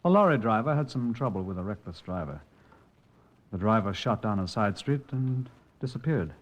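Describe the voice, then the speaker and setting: An actor playing a methodical, respectful police sergeant in an old-time radio crime drama. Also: male voice, lowish voice, lively intonation, moderate pace, highs cut off. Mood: thoughtful, measured.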